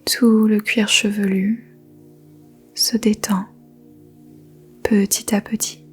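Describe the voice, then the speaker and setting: A woman teaching yoga nidra, speaking softly in a whispery voice and giving slow, gentle meditation instructions with long pauses. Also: mid-range voice, level tone, husky, soft background music. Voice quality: soft whispery voice. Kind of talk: guided meditation.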